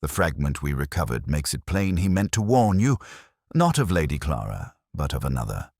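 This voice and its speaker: A man's voice: extremely low pitch, big pitch swings, moderate pace, darkish tone, dry recording, clean studio sound, full character voice. A man voicing a keen, commanding Victorian detective in an audiobook, precise and authoritative. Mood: grave, commanding.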